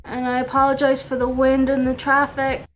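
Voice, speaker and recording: Chatty young woman with a bright voice. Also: sits high, completely flat delivery, normal speed, soft background music. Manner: chatty, upbeat, talks while working. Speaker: beauty vlogger. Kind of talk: casual makeup tutorial. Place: outdoors with wind and traffic.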